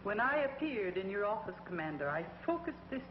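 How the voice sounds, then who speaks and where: A woman's voice: medium pitch, big pitch swings, fairly quick, background noise, lightly acted. Zyderine, a woman playing a haughty witch villain. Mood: silkily menacing. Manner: commanding, haughty, precise diction. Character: scheming, cold female witch villain ruling captives. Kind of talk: 1950s TV drama acting. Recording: dull 1950s TV soundtrack with loud music.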